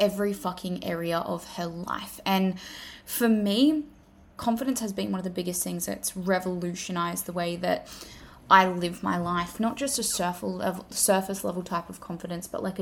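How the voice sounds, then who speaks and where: Sweary Australian woman, gravelly edge. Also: mid-range voice. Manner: chatty, swears freely, lists in repeated phrases. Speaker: podcast host and life coach. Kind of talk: casual solo podcast monologue. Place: home room. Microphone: simple home setup.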